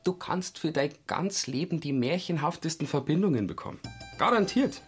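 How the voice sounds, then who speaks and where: An actor playing an unabashed, worldly count who schemes nonchalantly in a radio play. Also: male voice, fairly high pitch, animated, moderate pace, faint music behind, natural voice. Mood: persuasive. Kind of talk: German radio play acting.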